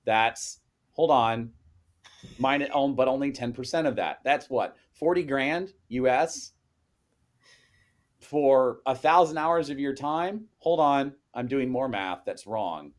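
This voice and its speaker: A man's voice: mid-range voice, takes it slowly. A man, a co-author on a podcast, chatting casually and working out numbers aloud as he goes. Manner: rambling, works sums out loud.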